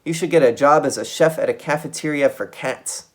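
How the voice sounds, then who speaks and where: A man voicing a nervous, excitable adult male mouse from a storybook, exclaiming in indignant splutters. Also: on the high side, a little fast, voice pushed up, lightly acted. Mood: outraged.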